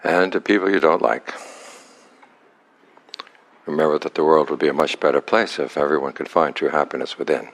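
A man with an exceptionally deep voice guides a meditation. He speaks as a teacher, calm and unhurried, setting out short instructions and leaving long pauses between them. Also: gravelly.

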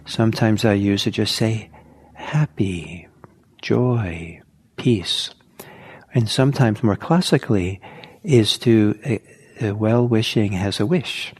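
Slow husky-voiced man guiding meditation. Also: on the low side, expressive. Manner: soft, slow, halting with many pauses. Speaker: meditation teacher. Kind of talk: guided meditation talk.

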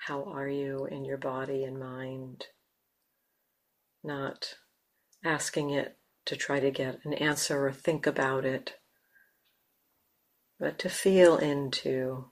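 Woman leading guided meditation, gentle low voice, super slow with big pauses. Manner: gentle, unhurried, with long pauses. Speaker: meditation teacher. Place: meditation center.

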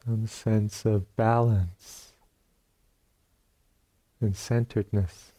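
Slow, very mellow man guiding meditation. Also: fairly low pitch, flat delivery. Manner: unhurried, long pauses between short phrases. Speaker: meditation teacher. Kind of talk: guided meditation.

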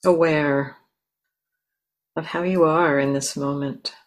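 Slow, gentle woman guiding meditation. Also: sits low, fairly even intonation. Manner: gentle, unhurried, with long pauses. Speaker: meditation teacher. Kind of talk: guided meditation.

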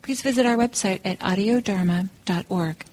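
A woman's voice: mid-range voice, moderate pace, darkish tone. A woman giving a short, formal recorded introduction as an announcer.

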